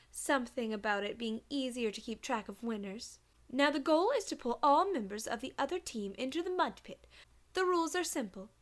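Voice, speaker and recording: A woman's voice: sits high, fairly bright tone. A woman voicing a prim, encouraging female cartoon pony giving instructions in an audio story. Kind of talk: dramatized audiobook, cartoon acting.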